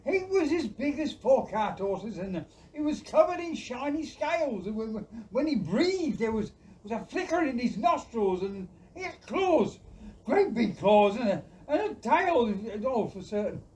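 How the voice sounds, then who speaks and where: A woman playing an excitable, stammering country farmer father in an audio play. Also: on the high side, measured pace, recorded from a distance, poor audio. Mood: panicked, amazed. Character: panicked, flustered farmer father, simple countryman. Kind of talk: stage-like audio play acting.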